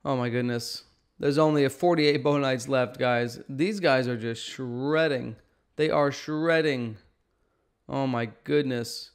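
A man in his thirties hosts a gaming video with a clean, resonant voice at a middle pitch. He talks casually through strategy, stressing points emphatically and often checking in with a quick "okay" or "right.". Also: smooth. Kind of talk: casual game strategy commentary. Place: indoor room at home.

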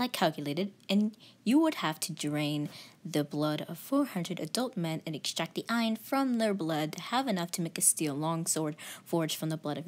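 A woman's voice: low voice, a little mellow. A woman voicing a serious, pedantic, bespectacled man in a comic dub, speaking precisely and formally. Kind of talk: fan comic dub reading.

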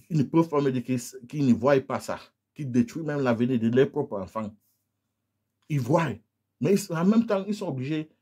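A man in his thirties from Cameroon, a native English speaker with a Cameroonian Anglophone accent, giving animated commentary to a phone camera in a small room, repeating rhetorical questions in a scolding tone. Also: on the high side, slightly rough. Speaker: political vlogger. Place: small room indoors with colored lights.

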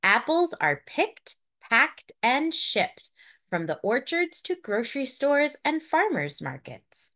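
A woman narrating a children's picture book in a deliberate, expressive storytelling manner for young listeners. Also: sits high.

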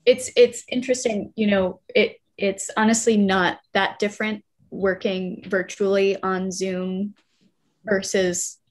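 A young woman actor giving a casual, halting answer on a video call, thinking aloud. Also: mid-range voice. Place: indoor room, video call.

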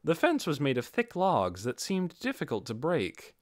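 A man's voice: on the high side, huge pitch swings, normal speed, dry recording, studio quality. A man narrating a fantasy audiobook from a written script.

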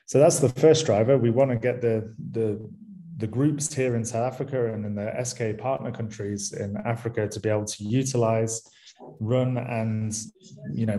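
A man with a Manchester-area English accent gives a conference talk from a small room over a webcam. His voice sits low and sounds mellow, and his delivery is relaxed, full of ums and small restarts. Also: poor audio. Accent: Mancunian English. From England, near Manchester. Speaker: university lecturer.

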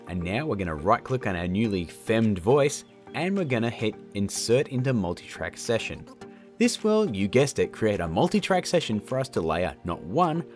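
A man in his thirties narrating a tutorial in a casual, informal way. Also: fairly low pitch. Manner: informal, addresses viewers as guys. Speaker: host of audio effect tutorials. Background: background music.

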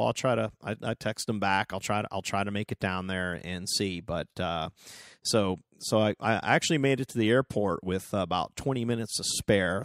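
A middle-aged man hosting a solo podcast, rambling casually with lots of stammered restarts and a slightly hoarse voice. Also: fairly low pitch, no room sound, studio quality. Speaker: podcast host.

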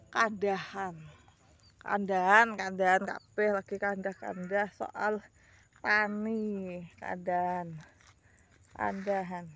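A woman's voice: medium pitch, fairly bright tone. Chatty Javanese woman vlogging by rice fields. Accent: Javanese ngapak. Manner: chatty, addresses viewers as guys, rambling. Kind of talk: casual vlog to camera. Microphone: phone at arm's length.

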